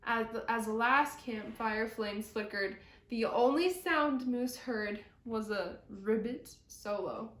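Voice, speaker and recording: A high-pitched woman narrates a children's story. She takes it slowly, with deliberate dramatic phrasing, in a room with noticeable echo. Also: fairly bright tone. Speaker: storyteller reading a picture book aloud. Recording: roomy echo.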